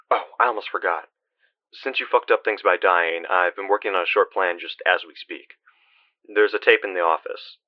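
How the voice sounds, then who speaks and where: A man's voice: low voice, highs cut off, natural voice. A man playing a weary, anxious, sardonic male guide in a video game, talking conversationally with hesitant, muttered asides. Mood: urgent, uneasy. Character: weary dead man guiding his friend through purgatory, anxious, sardonic.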